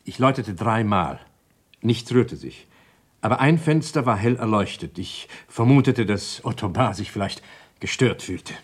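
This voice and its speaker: A man playing a troubled doctor and evasive suspect in a German crime radio drama, speaking in a hesitant, guarded way with a husky voice. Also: lowish voice, animated, moderate pace. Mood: subdued, recounting.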